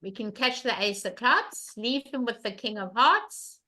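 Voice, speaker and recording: Loud, bright-voiced older woman teaching bridge. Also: a little high, lively intonation, a little slow, low-quality recording. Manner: emphatic, repeats questions to the class. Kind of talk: online bridge lesson.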